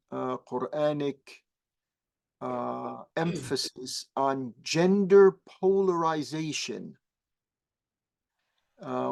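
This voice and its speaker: A man whose first language is Arabic, a guest imam explaining religious teaching slowly and thoughtfully, pausing often with fillers. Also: medium pitch, creaky, a little mellow. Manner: measured, halting with many fillers.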